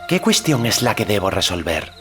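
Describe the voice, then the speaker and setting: A man's voice: on the low side, big pitch swings, normal speed, faint music behind, pitched above the performer's own voice. A man voicing a curious, self-assured young boy hero in an audiobook, speaking directly and full of questions. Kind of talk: audiobook character acting.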